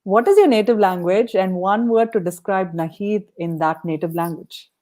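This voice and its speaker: A woman's voice: mid-range voice, moderate pace, darkish tone. A woman podcast host interviewing in a conversational style, reflecting on what the guest says with appreciative, affirming replies.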